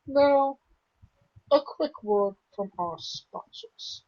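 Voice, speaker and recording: Woman, podcast host, husky, fairly high, questions, lo-fi sound. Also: animated, very slow, darkish tone. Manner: laughing, asks questions. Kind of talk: casual wrestling chat. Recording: dull lo-fi podcast audio.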